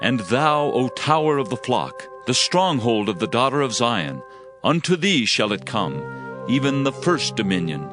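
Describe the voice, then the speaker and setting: An actor playing a fervent, solemn prophet in a dramatized scripture reading, declaiming judgment and hope. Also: male voice, mid-range voice, big pitch swings, measured pace. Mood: solemn, exalted. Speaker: Bible narrator. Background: music.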